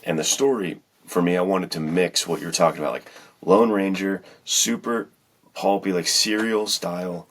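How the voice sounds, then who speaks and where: A man's voice: low voice, moderate pace. A man co-hosting a podcast as an author, chatting in an informal, enthusiastic way. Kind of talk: casual chat.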